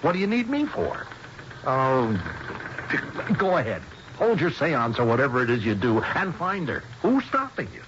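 Male police lieutenant: skeptical, flustered, sarcastic, swinging pitch, in a dull, noisy vintage radio mystery. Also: wildly swooping pitch, normal speed. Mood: grudging. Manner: flustered, hesitant stammering, sarcastic. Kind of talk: old-time radio mystery drama acting. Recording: dull, noisy old radio broadcast recording.

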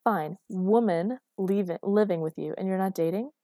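A woman's voice: medium pitch, moderate pace, mellow, no room sound, clean studio sound, close to an everyday voice. A woman voicing a bitter, scornful mother in her thirties who berates and cuts people down in audiobook dialogue. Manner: berating, cutting.

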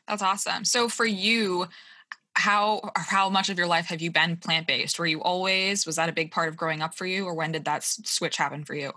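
A woman's voice: lowish voice, fairly even intonation, moderate pace, bright, forceful tone. A young woman hosting a podcast from a small hostel kitchenette, chatty and upbeat with casual fillers. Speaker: podcast host. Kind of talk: casual podcast intro and interview.

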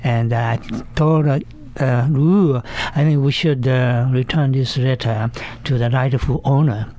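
A man in his eighties with a Japanese accent, recounting as an interview guest, haltingly and repeating words. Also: mid-range voice, fairly even intonation, warm tone. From Kyoto, Japan. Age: 80s. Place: studio.

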